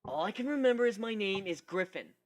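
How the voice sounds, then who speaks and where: Man as wisecracking, excitable male griffin, swooping pitch. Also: brisk. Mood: feigned confusion. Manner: sarcastic, theatrical.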